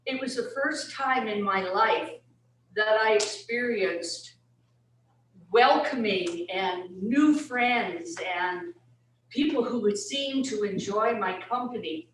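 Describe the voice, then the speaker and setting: An older woman from England speaks slowly and deliberately, telling her own story. Her voice rings in an echoey room, recorded from a distance. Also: on the high side, slight background noise. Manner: unhurried, warm, laughs at herself. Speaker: retired teacher, board candidate. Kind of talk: personal speech at a meeting. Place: community centre meeting room.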